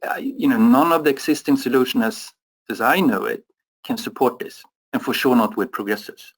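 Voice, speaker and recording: European man, mid-pitched, halting webinar answers with lots of fillers. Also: rough recording. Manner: halting, many fillers and restarts. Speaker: product expert.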